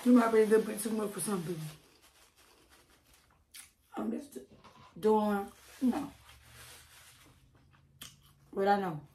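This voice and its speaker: A woman chatting casually while eating on camera, with preachy asides, frequent laughs and a slightly hoarse voice, recorded on a close mic at home. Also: medium pitch, slow, pauses often, dark tone, roomy sound, a little hiss or hum. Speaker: mukbang creator. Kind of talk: casual eating-show chat.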